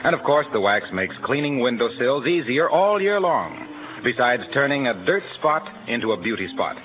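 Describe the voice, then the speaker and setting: A man working as a 1940s radio announcer, reading scripted introductions and sponsor copy in a polished, salesmanlike way. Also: medium pitch. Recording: narrow-band vintage radio, faint music.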